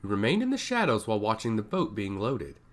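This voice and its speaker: A storyteller narrating scripted fantasy fiction in a mid-pitched voice with a lively, widely swinging intonation. The delivery is that of a book being read aloud. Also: fast.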